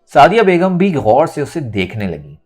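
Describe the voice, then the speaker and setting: Expressive male storyteller with lively pitch over music. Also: normal speed. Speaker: audiobook narrator. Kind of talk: dramatic scripted novel narration.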